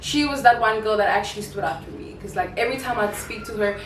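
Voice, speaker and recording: Young woman co-host, quick and animated, distant in an echoey, noisy room. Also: medium pitch. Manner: lively, animated storyteller. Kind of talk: casual chat. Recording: echoey room, off-mic, clear background noise.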